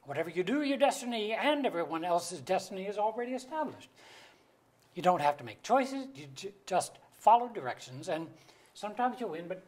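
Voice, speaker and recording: A man's voice: high-pitched, sing-song, fairly quick, a little mellow. A man acting a droll, fatalistic middle-aged father in a staged comedy reading, with a wordy, mock-solemn manner. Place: auditorium stage.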